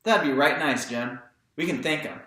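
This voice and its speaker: A man's voice: on the high side, moderate pace, bright, forceful tone, voice pushed up. Man playing pert little girl, echoey. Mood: eager. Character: Scout, pert young Southern girl, eager and stubborn. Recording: very echoey room.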